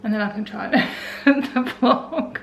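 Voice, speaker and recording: Tired, croaky Australian woman vlogging at home. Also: on the high side, moderate pace, a little hiss or hum. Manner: tired, rambling, self-talking. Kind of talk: casual home vlog. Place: indoors at home.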